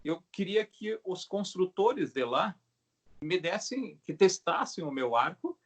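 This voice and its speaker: A middle-aged Brazilian man with a slightly high voice talks casually as an interviewed craftsman. He explains things haltingly, restarting and adding little tag questions as he goes. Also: sing-song. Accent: Brazilian Portuguese. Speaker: craftsman, bow maker being interviewed. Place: video call.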